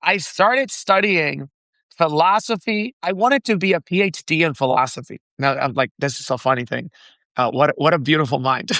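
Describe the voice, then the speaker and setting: Gravelly young man from the Dominican Republic. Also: fairly high pitch, no echo. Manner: animated, laughs often, many restarts. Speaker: startup founder, guest. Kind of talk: casual interview chat.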